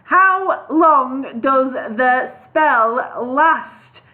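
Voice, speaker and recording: A woman's voice: high-pitched, expressive, moderate pace, voice pushed up. A woman voicing a flustered, polite little male homebody creature who stammers apologies, over a tinny phone-like line.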